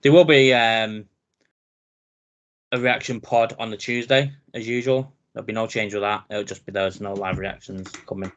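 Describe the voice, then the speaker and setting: A man in his thirties with a very deep voice, co-hosting a casual wrestling chat podcast. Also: normal speed, dull audio. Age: 30s. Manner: relaxed, chatty, laughs easily. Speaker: podcast co-host.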